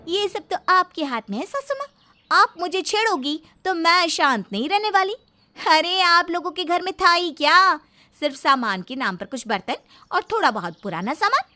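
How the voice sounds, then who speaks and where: A woman's voice: sits high, bright, forceful tone, full character voice. A woman voicing an arrogant, boastful young daughter-in-law in a scripted story, with a haughty, taunting manner. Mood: defiant.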